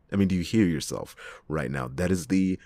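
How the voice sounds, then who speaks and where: Man playing a very deep, gravelly noir detective. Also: lively intonation, a little mellow, no echo, full character voice. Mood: exasperated, sardonic. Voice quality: gravelly, husky low voice. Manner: sardonic, theatrical, halting speech with drawn-out emphasis. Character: cynical, hard-drinking, reluctant male noir private detective. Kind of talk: ASMR detective roleplay, acted monologue to listener.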